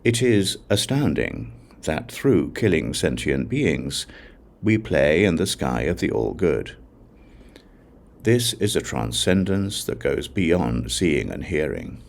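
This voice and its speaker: A man's voice: very deep voice, sing-song, takes it slowly, gravelly, darkish tone. A man giving a solemn, declarative scripted reading of sacred texts as an audiobook narrator.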